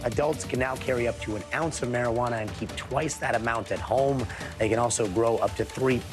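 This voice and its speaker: A hoarse man anchoring a news show, reading stories with playful puns in between. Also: medium pitch, fairly quick, music in the background. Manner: punny, playful asides. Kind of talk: news presenting.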